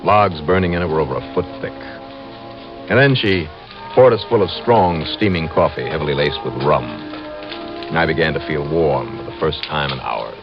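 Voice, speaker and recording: A steady, hard-boiled investigator in an old radio drama. His voice is extremely deep as he narrates, with music clearly behind him and a band-limited vintage sound. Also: moderate pace, muffled recording. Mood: wry, steady. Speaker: narrator and lead. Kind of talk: 1950s radio detective narration.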